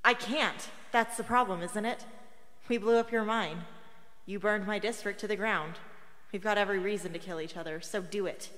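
Radio drama: woman playing defiant teenage girl rebel, tired of war, bright edgy voice, long echo. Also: mid-range voice, moderate pace, close to an everyday voice. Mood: defiant, weary. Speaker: actor in a radio play. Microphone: close studio broadcast microphone. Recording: long hall reverb.

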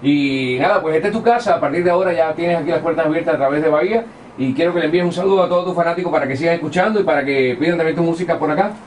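Quick-talking radio host man. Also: on the high side, a little hiss or hum. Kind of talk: radio interview.

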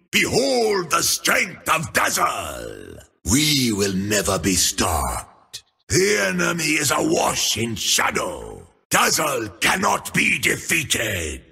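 A grandiose troll shadow priest who proclaims his dark power in a very slow, swooping delivery. His voice is raspy and gravelly, breathy yet bright and forceful, and it often rises to a shout. These are menacing video game battle lines. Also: medium pitch, heavily acted.

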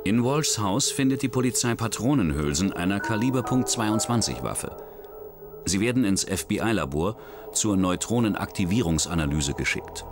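A man narrating a crime documentary in a measured, dramatic scripted style. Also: on the low side, lively intonation, music in the background.

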